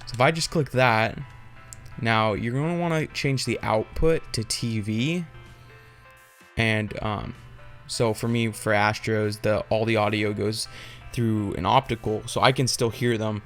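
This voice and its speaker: A man's voice: on the low side, steady tone, a little slow. Casual rambling man over music. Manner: informal, rambling, hesitant explaining. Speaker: tutorial host. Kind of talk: casual unscripted screen-capture tutorial.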